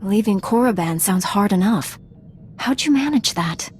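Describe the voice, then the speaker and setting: A woman's voice: medium pitch, expressive, normal speed, soft background music, natural voice. A woman acting a composed, dutiful Jedi Knight and mentor in a video game, speaking earnestly and formally.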